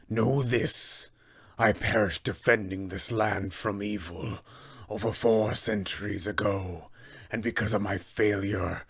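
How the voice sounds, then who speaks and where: A man's voice: a bit bright, heavily acted. A man voicing a vengeful undead male paladin who speaks in a grim, menacing tone. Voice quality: gravelly, deep and slow.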